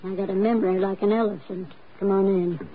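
A woman's voice: mid-range voice, fairly even intonation, brisk, close to an everyday voice. An actress playing a surly, suspicious, money-grubbing landlady with a brassy, slangy delivery in an old radio drama. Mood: grudging, mercenary. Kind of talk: 1940s-50s radio drama acting. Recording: tinny, hissy old radio recording.

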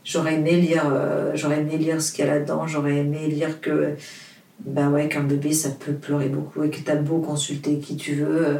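A woman's voice: sits low, monotone, normal speed, gravelly, warm tone. Woman, roomy distant sound. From Portugal. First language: Portuguese. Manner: hesitant, many fillers and restarts, earnest. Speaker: author, interview guest. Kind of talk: casual interview chat.

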